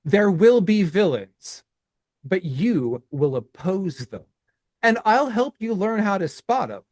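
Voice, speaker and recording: A man co-hosting a podcast, reading his own rhyming poem in a measured cadence and then chatting with frequent restarts. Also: high voice, very animated, a little mellow, no room sound, studio quality. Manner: slow, deliberate, with halting restarts in chat. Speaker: podcast co-host.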